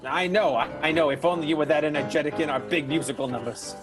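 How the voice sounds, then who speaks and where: A man acting a harried, self-pitying vaudeville showman in an improvised radio soap, husky, weary and repetitive. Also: a little high, fast, over music, close to an everyday voice. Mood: urgent, pleading.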